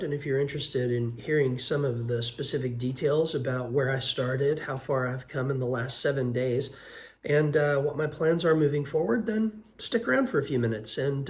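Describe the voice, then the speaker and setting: Man, 50s, hoarse with a cold. Earnest and unhurried, casual vlog delivery with lots of fillers. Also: fairly high pitch. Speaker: vlogger. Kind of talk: casual weight-loss update vlog.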